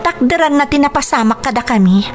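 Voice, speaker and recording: An earnest young Filipino daughter in a radio drama. Her voice sits a little high, respectful and confessional, in an Ilocano accent, with music underneath. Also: lively intonation, fast. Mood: uneasy, explaining. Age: young adult. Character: earnest, confessing grown daughter, pregnant professional.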